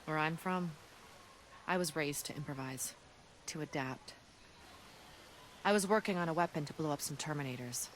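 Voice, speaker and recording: An actor playing a determined, resourceful resistance fighter in a video game cutscene, serious and earnest. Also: male voice, fairly high pitch, moderate pace, long pauses, a bit bright, slight background noise, lightly acted. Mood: confident.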